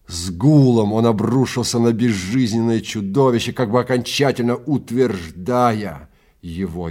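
A man narrating a story in a theatrical, deliberate manner with dramatic pauses. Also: sits low, a little mellow.

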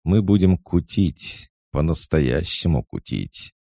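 A man voicing a merry young woman in a spoken novel, talking in excited exclamations well above his own low voice. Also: slow pace, vocal fry, no room sound, clean studio sound, full character voice.

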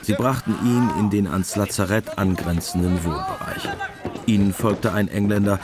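Deep male radio play narrator. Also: steady tone, normal speed, over music, a crowd in the background.

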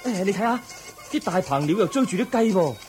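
A man's voice: high voice, expressive, fast talker, darkish tone, faint music behind. A man playing a thoughtful, compassionate young crown prince in a Cantonese audio drama, earnest and questioning. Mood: pensive.

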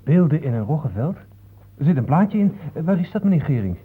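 A man's voice: on the high side, sing-song, brisk, breathy edge, narrow, tinny audio. An actor playing a down-to-earth, curious male travel companion in a Dutch radio play, blunt and colloquial.

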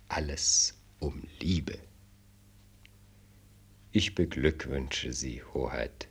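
An actor playing a shrewd, blunt minister of state in a German radio play, husky, with formal, rhetorical courtly speech. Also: male voice, a bass voice, heavily acted. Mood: solemn.

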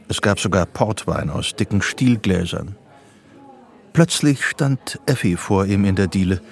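An actor as the composed, measured narrator of a psychological thriller in a radio play, reading scripted prose soberly. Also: male voice, on the low side, gravelly.

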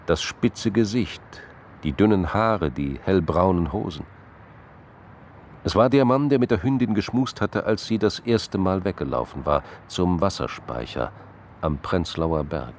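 A man narrating a scripted crime radio drama in measured, dramatic literary phrases with pauses. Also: low-pitched, slight background noise.

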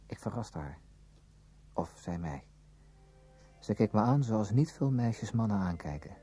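A man acting in a radio play as a brooding, probing former student who tells his story in a measured, reflective way. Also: lowish voice, sing-song, warm tone, breathy edge, exaggerated voice. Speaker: narrator and lead character in a radio play. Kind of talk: scripted radio drama, literary first-person narration.

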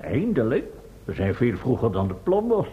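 A man's voice: mid-range voice, wildly swooping pitch, normal speed, a little hiss or hum. A man playing a vain, fussy professor in a radio play, speaking formally and pompously on an old, muffled recording. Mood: self-important. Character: vain, fussy male professor, time-travelling scientist hungry for fame. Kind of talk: vintage Dutch radio drama acting.

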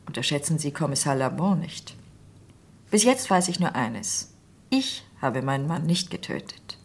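An actress playing a composed, cool surgeon's widow in a German radio crime drama, speaking in a controlled, curt manner. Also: female voice, low voice, animated, normal speed, creaky, breathy edge, heavily acted. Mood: guarded, firm.

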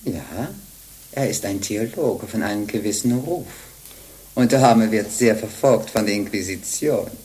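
An older actress playing a sly, jovial old bawd in a radio play, teasing and wheedling in a theatrical way. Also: female voice, extremely low pitch, measured pace, mellow, slight background noise, poor audio. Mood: matter-of-fact, sly. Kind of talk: German radio play acting.

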